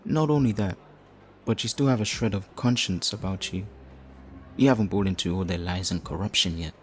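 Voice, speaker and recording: A man playing a flirtatious, theatrical supervillain leader in an audio roleplay, smug and righteous, with teasing, drawn-out phrasing. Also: deep voice, slight background noise, lightly acted. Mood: earnest, coaxing. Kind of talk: audio roleplay addressed to the listener.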